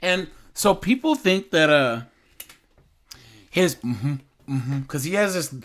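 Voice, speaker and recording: A man's voice: fairly high pitch, slow, a little hiss or hum. Guy doing casual reaction commentary, repeats himself, little stutter when he gets worked up. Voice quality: occasional stutter when excited.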